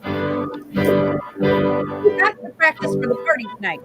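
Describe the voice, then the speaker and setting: A woman's voice: high voice, very animated, moderate pace, music in the background. A woman playing a cheerful, doting wife and mother in a radio play, chatty and attentive. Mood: cheerful, excited. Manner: chatty, bubbly, concerned. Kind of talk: old-fashioned radio play acting.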